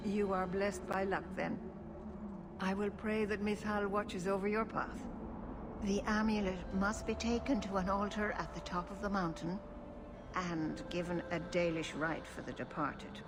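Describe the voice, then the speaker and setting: An actress playing a wise, solemn elven clan keeper in a fantasy video game, speaking in a measured, formal way. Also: female voice, mid-range voice, fairly even intonation, background noise, natural voice. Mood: grave, measured. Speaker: clan leader and spiritual guide.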